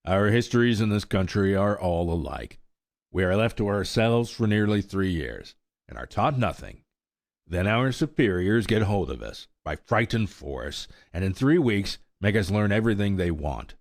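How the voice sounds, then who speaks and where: A man voicing a sorrowful, resigned old mare in a storybook reading, speaking slowly with sighs. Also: lowish voice, big pitch swings, gravelly, no echo, clean studio sound. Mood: cold. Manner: slow, sighing, world-weary.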